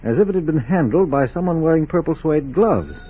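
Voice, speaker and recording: An actor playing a methodical FBI special agent in a 1940s radio drama, with a clipped, deliberate, businesslike delivery. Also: male voice, a little high, lively intonation, mellow, slight background noise, close to an everyday voice. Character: steady, methodical male FBI special agent investigating a case. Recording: dull, muffled 1940s radio recording.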